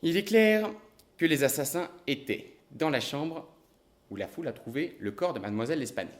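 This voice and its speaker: An actor playing a calm, reasoning male detective who speaks in a measured, deliberate way. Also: on the high side, lightly acted. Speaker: investigator.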